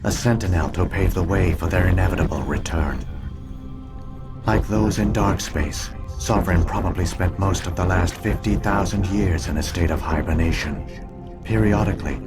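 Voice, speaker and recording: A very low-voiced, ancient alien artificial mind played by a man explains things with grave logic in a video game. The delivery is formal, deliberate and solemn, with music clearly playing behind it. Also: close to an everyday voice. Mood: grave, measured. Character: ancient alien virtual intelligence, male, solemn and coldly logical. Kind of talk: scripted video game voice acting, exposition.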